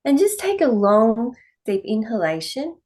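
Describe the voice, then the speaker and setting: A woman teaching yoga, giving calm, measured guided meditation instruction in short phrases with pauses between them. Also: a little high.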